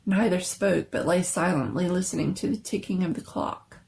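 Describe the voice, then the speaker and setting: A woman giving a dramatic, suspenseful reading of a scary story, with theatrical and deliberate phrasing. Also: fairly low pitch, creaky, a little mellow, slight background noise. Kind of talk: dramatic scripted story narration.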